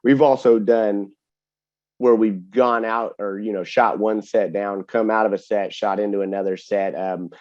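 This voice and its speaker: A man with a fairly low voice answers technical questions in a casual webinar style. He explains things step by step and often tags on a check for agreement. The sound is a bit dull. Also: steady tone, unhurried, a little mellow, no echo. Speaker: webinar host and distributor product expert.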